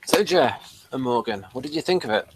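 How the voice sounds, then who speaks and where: A man hosting a review discussion, reading a summary aloud and chatting, sometimes haltingly. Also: mid-range voice, animated, a little hiss or hum, rough recording. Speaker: podcast review host.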